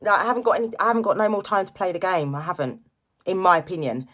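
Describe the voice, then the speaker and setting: A woman's voice: fairly low pitch, fast, fairly bright tone. A woman in her late forties giving a casual motivational live chat, speaking informally and directly to listeners and repeating key phrases for emphasis. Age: late 40s. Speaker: live-stream host, direct seller giving motivational talk.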